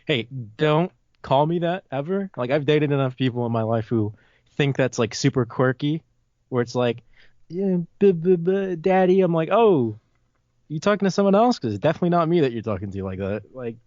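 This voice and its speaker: A man's voice: fairly high pitch, animated, moderate pace, a little mellow, no room sound, dull audio. A twenty-year-old man chatting casually and bluntly as a podcast guest. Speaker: podcast guest, video maker.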